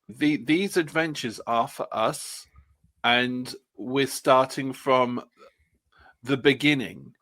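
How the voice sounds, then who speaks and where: Male panellist, medium pitch, enthusiastic and halting, casual podcast chat. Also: fairly bright tone.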